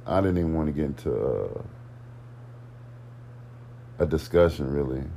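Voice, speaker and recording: A man's voice: rumbling low, animated, moderate pace, creaky, warm tone, slight background noise. A man co-hosting a podcast in casual chat, laid-back and terse, saying little and explaining less. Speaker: podcast co-host.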